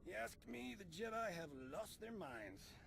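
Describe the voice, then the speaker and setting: An actor playing a brash, sarcastic, opinionated doctor in a video game, grumbling and exasperated. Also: male voice, high-pitched, big pitch swings, unhurried, creaky, noisy background, full character voice. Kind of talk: video game cutscene acting.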